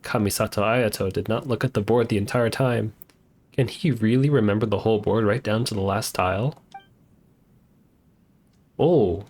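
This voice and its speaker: A man's voice: on the low side, normal speed, pauses often, a little mellow. A man streaming a game, giving casual live commentary in a laid-back way and reading text from the screen. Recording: game music behind the voice.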